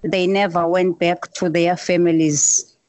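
A woman, a young musician, answering interview questions in slow, plain, repeated phrases. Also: fairly low pitch, little pitch movement, a little mellow, no room sound. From South Africa. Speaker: musician guest.

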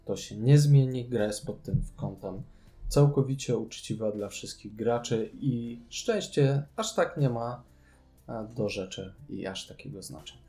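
A man talks casually as a podcast co-host. His voice is dark and mellow, sitting in a middle register. He gives reviews in a conversational way. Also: medium pitch, animated, unhurried, faint music behind. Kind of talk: casual chat, board game review.

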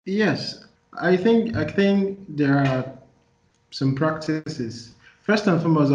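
A man's voice: fairly high pitch, a little mellow. Nigerian man explaining in an echoey room. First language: Igbo. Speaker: interviewee, development practitioner. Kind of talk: thoughtful interview answer. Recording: echoey room, muffled lo-fi sound.

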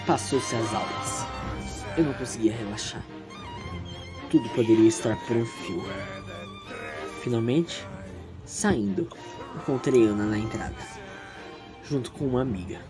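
A man's voice: mid-range voice, expressive, a little slow, long pauses, over music, natural voice. A man with a Brazilian accent playing an anxious, insecure, ambitious teenage schoolboy in a dramatic audio drama, speaking emotively and emphatically. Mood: uneasy narration. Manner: emotive, emphatic, repeating words when agitated. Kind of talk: dramatic first-person narration and dialogue in audio drama.

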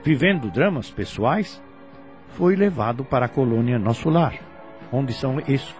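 A Brazilian man delivers scripted spiritual commentary for radio. His mid-pitched voice moves with lively intonation while staying formal and deliberate, over faint music.

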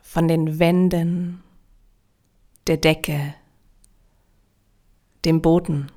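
Woman doing guided yoga nidra, really slow, lowish voice, calm and soothing, lots of pauses. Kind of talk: guided relaxation meditation.

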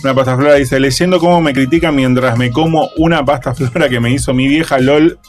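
A man's voice: medium pitch, steady tone, brisk, faint music behind. A man with a Rioplatense Spanish accent on a radio panel who reads quotes aloud and gives blunt opinions. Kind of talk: casual radio chat, reading quotes aloud.

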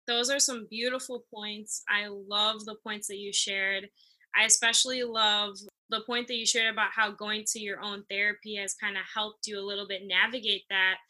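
A woman's voice: medium pitch, flat delivery, moderate pace, pushed and bright, rough recording. A woman hosting an interview, asking questions and affirming her guest's points.

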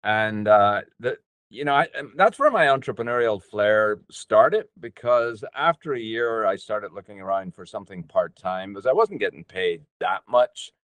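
An older man from with a low voice. He tells his own story as an interview guest, pausing often and taking his time. The sound is dry, with hardly any room echo. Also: expressive, fairly bright tone. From Northern Ireland. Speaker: podcast guest, business coach.

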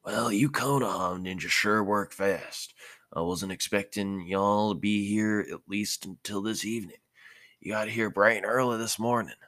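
A man's voice: lowish voice, fairly even intonation, normal speed, a bit bright, no room sound, pitched above the performer's own voice, close to an everyday voice. A man voicing a friendly young cattle farmer in a story reading, chatty and folksy in manner.

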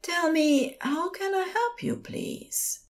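Woman as male nurse in audio fiction: high, lilting, prim, courteous, soothing, unhurried. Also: heavily acted. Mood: soothing, gentle. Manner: polite, formal, calm.

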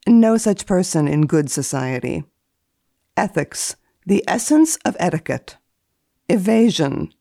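A woman speaking as a guest columnist in a deadpan, theatrical manner, as if giving a dramatic reading. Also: lowish voice, gravelly, dry recording, studio quality. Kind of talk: dramatic reading of a book index and deadpan commentary.

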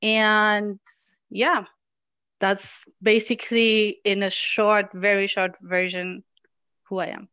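A woman from Morocco talking casually as an interview guest over a video call on a headset, chatty and quick to laugh. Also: medium pitch, little pitch movement, pushed and bright, clean tone, no room sound, lo-fi sound. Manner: chatty, laughs easily, many fillers. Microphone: headphones with mic on video call.

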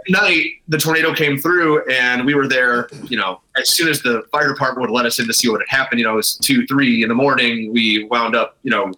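A man's voice: mid-range voice, steady tone, fairly quick, hardly any pauses, sharp tone, poor audio. A man speaking as an interview guest, telling things in an informal, rambling way. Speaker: guest, brewery co-owner. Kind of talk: casual interview storytelling.

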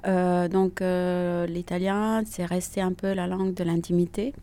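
Woman, middle-aged, very slow, gravelly, mellow low voice, thoughtful and hesitant. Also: little pitch movement. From Italy. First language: Italian. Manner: thoughtful, unhurried, frequent hesitations. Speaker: interviewee. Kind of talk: reflective personal testimony.

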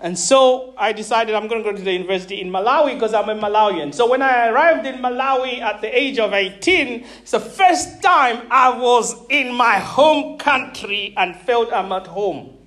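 A man's voice: very high voice, moderate pace, fairly bright tone. A man in his thirties from Zambia, preaching a sermon and calling out to his congregation, repeating words and laughing between points. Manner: emphatic, calls out to the congregation. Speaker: pastor.

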